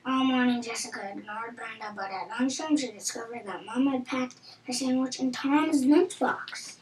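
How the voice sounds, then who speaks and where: A woman's voice: a little high, moderate pace, a little mellow. A woman reading a children's storybook aloud. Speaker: storybook reader. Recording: roomy echo with audible background noise.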